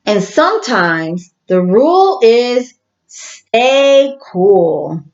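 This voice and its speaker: A woman doing a gentle storybook teacher. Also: on the high side, sing-song, very slow, lo-fi sound, heavily acted. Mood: coaxing. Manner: gentle, coaxing. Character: kind patient female school teacher.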